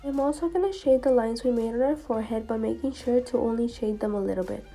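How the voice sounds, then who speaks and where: Young woman with high, mellow voice, slightly sick. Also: fast. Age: young adult. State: sick, voice affected by a cold. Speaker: makeup tutorial host. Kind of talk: tutorial voice-over narration. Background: background music.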